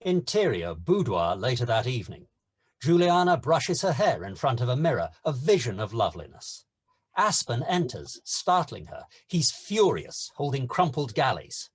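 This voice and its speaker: A man's voice: medium pitch, big pitch swings, moderate pace, husky. A man narrating scripted scene directions at a screenplay table read.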